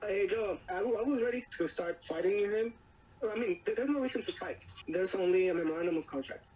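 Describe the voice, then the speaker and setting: A man's voice: sits high, fast talker, a little mellow. Man on a dull, noisy recording. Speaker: real estate wholesaler. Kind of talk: casual business discussion.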